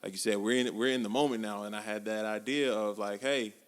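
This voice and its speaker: A man in his twenties co-hosting a podcast, talking casually and reflectively in relaxed slang. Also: lowish voice, fast. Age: 20s. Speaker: podcast co-host. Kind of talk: casual chat. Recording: long hall reverb.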